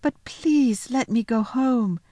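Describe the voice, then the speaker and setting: A woman voicing an earnest, kind-hearted, excitable little girl in a children's storybook, speaking eagerly and emphatically. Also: mid-range voice, normal speed, no room sound, natural voice. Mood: polite, sympathetic. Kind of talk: children's storybook read aloud.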